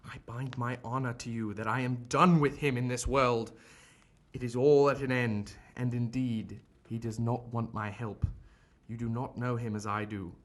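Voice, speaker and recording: A man acting a shaken, remorseful gentleman doctor in an audio drama, speaking formally and earnestly. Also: medium pitch, steady tone, normal speed, close to an everyday voice. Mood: agitated, insistent.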